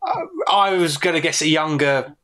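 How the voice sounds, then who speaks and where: Man in his twenties, guest on a film podcast, playful and quick to joke, higher voice. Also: pushed and bright. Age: 20s. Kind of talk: casual film review chat.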